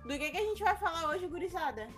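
High-voiced, blunt podcast co-host woman. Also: animated, fast talker, a bit bright, soft background music. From Porto Alegre, Brazil. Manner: blunt, swears, laughs often. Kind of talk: casual podcast chat.

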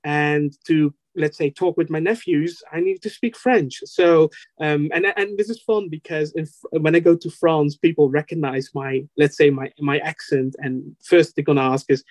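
A man's voice: on the high side, steady tone, moderate pace, a little mellow, no room sound. A Dutch man whose first language is Dutch, answering interview questions as a podcast guest, hesitant with many fillers and restarts. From Netherlands.

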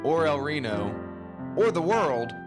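A man's voice: a little high, sing-song, unhurried. A man reading a scripted introduction for a church podcast. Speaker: podcast announcer. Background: loud plucked guitar music.

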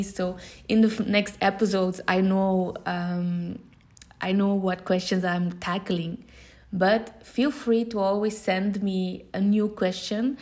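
A woman in her thirties from Belgium, a native Dutch speaker with a Belgian Dutch accent, giving an informal, unscripted and earnest podcast introduction. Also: medium pitch. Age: 30s. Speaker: podcast host. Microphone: phone recording.

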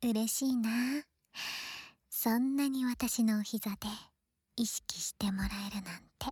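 Woman voicing a young female best friend, high-pitched, very slow, playful, coaxing, affectionate, breathy whispers, intimate ASMR drama, close-miked. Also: dark tone, dry recording. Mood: tender teasing. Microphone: close ear-to-ear ASMR microphone.

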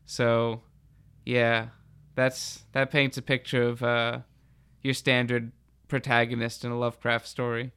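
A man's voice: medium pitch, completely flat delivery, measured pace, a bit bright, clear, resonant tone. A man chatting casually on a podcast, telling things in a rambling, informal way full of filler words. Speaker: podcast speaker.

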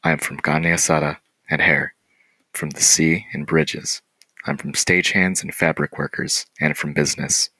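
A teenage boy reading his own poem aloud in a steady, plain way. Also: a bass voice, normal speed, husky, no room sound, low-quality recording. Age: teens. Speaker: student.

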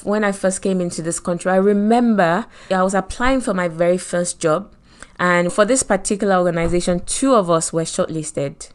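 A woman's voice: on the low side, moderate pace, clear, resonant tone. Nigerian woman giving a faith talk. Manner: earnest, preacherly, acts out quoted voices. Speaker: host of a short faith podcast.

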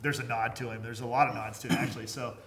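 A man hosting a podcast, chatting casually about films in an animated, joking manner. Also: mid-range voice, fast talker, slight background noise. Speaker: podcast host. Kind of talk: casual film chat.

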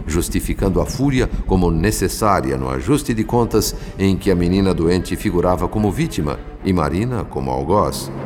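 Casting: solemn, compassionate spirit narrator, Brazilian, deep voice with sweeping intonation, formal radio drama telling. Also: male voice, darkish tone. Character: compassionate, grave male spirit narrator, pleading for calm. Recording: long studio reverb, music underneath. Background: music.

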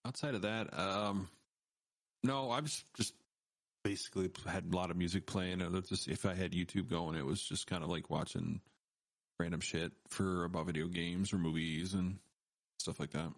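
A man with a very low, gravelly voice, chatting in a relaxed, rambling way and swearing casually. The sound is close and dry, with no room around it. He sounds unhurried and easygoing. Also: no room sound. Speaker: podcast co-host. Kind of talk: casual chat.

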